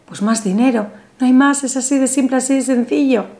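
Woman in her 30s, high voice, soothing how-to talk straight to camera, small bright room. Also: brisk. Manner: soothing, instructive, addresses the viewer directly. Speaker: spiritual channel host. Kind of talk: guided money ritual tutorial. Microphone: camera in front, seated at a table.

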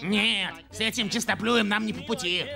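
An actor voicing a scruffy, greedy male street cat with a gravelly, cheeky and sarcastic delivery in a cartoon. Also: sits high, steady tone, moderate pace, over music. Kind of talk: cartoon dub.

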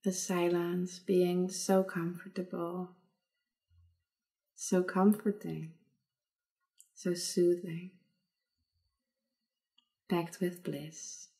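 Female meditation guide: mellow, very even delivery, soothing, leaving long pauses. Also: on the low side, poor audio.

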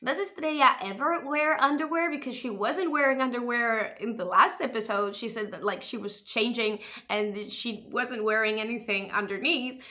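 A woman's voice: fairly high pitch, moderate pace, hardly any pauses. A young woman from Spain, raised in the US, chatting animatedly to camera from a room at home. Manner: animated, expressive, gestures while talking. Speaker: vlogger reviewing a TV show. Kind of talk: casual chatty commentary to camera. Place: small room at home, indoors. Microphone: webcam or camera close in front.

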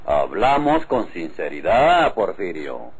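An actor playing an authoritative but conciliatory rural spokesman in an old radio drama, deliberate, with a northern Mexican accent. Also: deep voice, huge pitch swings, a little hiss or hum, heavily acted.

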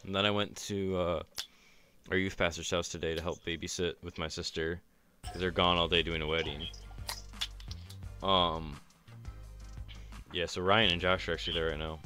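Very deep-voiced young man streaming. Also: moderate pace, pauses often. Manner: relaxed, rambling, laid-back. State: sore chest and ankle. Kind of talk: casual gaming chat. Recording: streamed voice chat, faint music behind.

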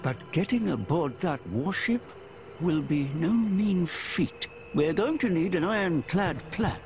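A tiny, wise old dragon with a British accent. He talks slowly in wry, old-fashioned phrases, his pitch swinging widely, in a game cutscene with music behind. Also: expressive, a little mellow. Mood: grave, wry. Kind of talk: video game cutscene acting.

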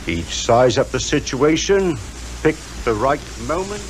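An actor playing a blunt, knowing police inspector with a British accent in a 1950s radio drama, plain-spoken and clipped. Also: male voice, a little high, sing-song, unhurried. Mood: knowing, explanatory. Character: blunt, worldly, confident male police inspector. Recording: noisy 1950s radio recording.

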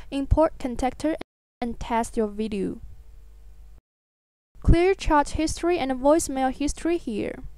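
A woman reading a scripted software tutorial in an instructional, step-by-step manner. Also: high-pitched, clean tone, dry recording.

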